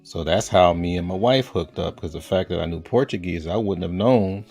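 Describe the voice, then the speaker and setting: A man from the United States with a very low, deep voice, asking questions in a loose, easygoing way full of fillers and restarts. Faint music sits underneath. It sounds like a casual online chat. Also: moderate pace, slightly rough. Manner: relaxed, rambling with fillers and restarts. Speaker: host.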